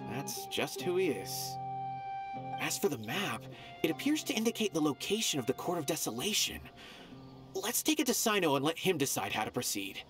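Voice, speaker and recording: A man voicing a calm, thoughtful young man in a game, speaking in a reasoned way. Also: on the high side, normal speed, faint music behind. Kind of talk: game voice acting.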